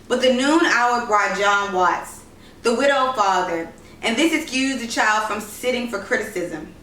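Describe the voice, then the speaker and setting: A woman's voice: fairly high pitch, a little slow, bright, forceful tone, far from the mic. A woman narrating a literary short story, reading aloud from the text. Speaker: story reader. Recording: roomy echo, noisy, off-mic home recording.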